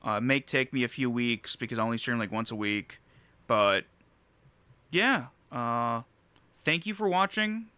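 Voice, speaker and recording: A man with a bright, crisp voice talks through game rankings in a casual, unscripted way. He rambles and pauses to find his words, dropping the odd swear. The sound is dry and close. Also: mid-range voice, animated, dry recording. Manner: rambling, halting, casually profane. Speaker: video game reviewer and streamer.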